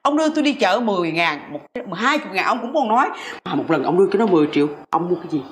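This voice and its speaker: A woman's voice: medium pitch, very animated, racing, lightly acted. A woman with a Southern Vietnamese accent playing a jealous, scolding old wife in a comedy sketch, complaining and piling up questions. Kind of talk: broad comedy sketch acting.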